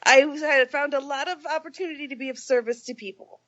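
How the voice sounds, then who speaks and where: A woman's voice: high voice, quick pace, a bit bright, muffled recording. A woman co-hosting a podcast in casual chat, her nose stuffy from a cold, talking in a chatty, self-deprecating way with laughs. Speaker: podcast co-host.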